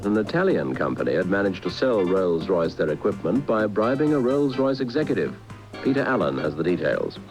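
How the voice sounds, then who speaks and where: A man's voice: sits low, very animated, a little fast, music in the background. A man reading a radio news bulletin. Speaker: radio newsreader.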